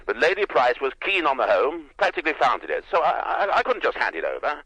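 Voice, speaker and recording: An actor playing a pompous, indignant older English knight with an upper-class accent, blustering and stammering through a telephone in a radio drama. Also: male voice, fairly high pitch, very animated, a little fast, pushed and bright, breathy edge, no room sound, heavily acted, rough recording. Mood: blustering, defensive. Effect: telephone filter. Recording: thin band-limited telephone-style sound.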